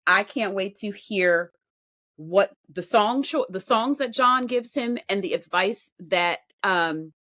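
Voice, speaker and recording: Bright-voiced woman podcast co-host. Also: mid-range voice, slow pace. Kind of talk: unscripted music show commentary.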